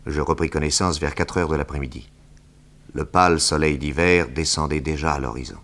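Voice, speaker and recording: Deep-voiced detective narrator. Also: male voice, steady tone, a bit bright. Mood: grave, suspenseful. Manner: measured, literary, precise. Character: composed male detective narrating his own adventure. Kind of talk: scripted first-person narration, 1960s French radio drama.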